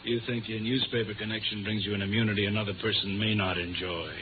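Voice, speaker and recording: A man playing a smug, menacing crime boss in a 1950s radio drama, polite and measured with veiled threats. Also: fairly low pitch, flat delivery, natural voice. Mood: coldly threatening. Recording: noisy, narrow-band old radio broadcast.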